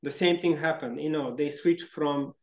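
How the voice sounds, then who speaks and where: Male host from Serbia, rambling and hesitant, slightly high voice, echoey room. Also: moderate pace, a little mellow. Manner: rambling, hesitant, lots of restarts. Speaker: interviewer, podcast host. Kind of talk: casual conversation.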